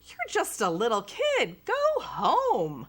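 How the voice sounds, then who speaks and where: A woman voicing a stern male contest judge who announces loudly and exclaims. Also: on the high side, wildly swooping pitch, slow, exaggerated voice. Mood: announcing.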